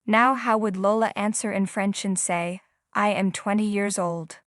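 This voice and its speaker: A woman's voice: mid-range voice, steady tone, fairly bright tone, no echo, clean studio sound. A woman teaching beginners, asking the viewer step by step to recall phrases. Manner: slow, prompting questions to the viewer. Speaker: language teacher. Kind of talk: scripted English instruction.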